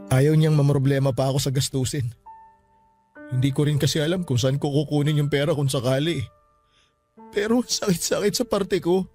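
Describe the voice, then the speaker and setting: An actor playing a grieving, guarded and easily frightened son in a Filipino radio drama, speaking colloquially with emotion. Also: male voice, fairly high pitch, a little mellow, close to an everyday voice. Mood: anguished, guilty. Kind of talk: Tagalog radio drama acting. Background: background music.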